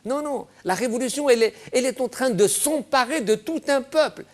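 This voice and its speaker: A man's voice: very high-pitched, fairly quick. A man speaking as a guest in a political debate, emphatic and repeating phrases insistently. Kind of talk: impassioned political discussion.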